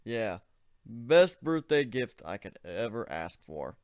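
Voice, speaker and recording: A man's voice: on the low side, big pitch swings, slow, darkish tone, no echo. Awkward young human guy, hesitant , read by a man, dry.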